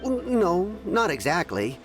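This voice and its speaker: A man's voice: sits high, wildly swooping pitch, unhurried, exaggerated voice. A man voicing a mild-tempered, candid townsman in a game, talking in a hesitant, reserved conversational way. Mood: explaining. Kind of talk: scripted game dialogue. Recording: music behind the voice.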